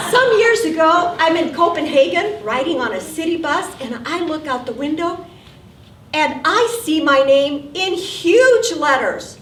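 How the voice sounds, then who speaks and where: An older woman tells her story onstage with wry humor, her voice high and her pace slow and deliberate. She sounds roomy and set back from the mic, with faint theater ambience around her. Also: far from the mic, slight background noise. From South Texas, USA. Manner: wry, animated storytelling with acted asides. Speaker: solo performer. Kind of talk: storytelling stage monologue. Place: theater stage.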